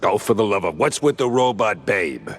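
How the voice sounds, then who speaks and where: An actor playing a world-weary, wisecracking mouse private detective in a noir video game, gruff, gravelly and deadpan. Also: male voice, lowish voice, normal speed. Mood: impatient. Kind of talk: noir game cutscene acting.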